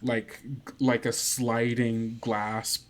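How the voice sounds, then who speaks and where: Male game master, mid-pitched, improvised scene descriptions with halting, thinking-aloud phrasing. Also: little pitch movement. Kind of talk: improvised tabletop game narration.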